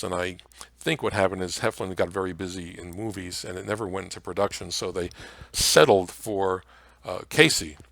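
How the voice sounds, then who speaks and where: Low-pitched American man giving an informal lecture. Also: gravelly, a bit bright, no echo. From Mount Vernon area, New York, United States. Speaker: presenter, radio history lecturer. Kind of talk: informal slide lecture on old-time radio history.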